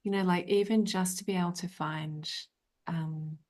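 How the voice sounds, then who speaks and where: A woman's voice: lowish voice, steady tone, normal speed, a little mellow. A middle-aged woman hosting an interview, talking in a chatty, rambling way with many fillers. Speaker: podcast host. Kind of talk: casual interview chat.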